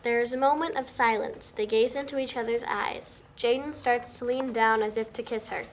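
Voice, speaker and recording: A woman's voice: a little high, steady tone, moderate pace. A woman reading out scripted stage directions as the narrator at a table read. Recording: noticeable background noise, amateur room recording.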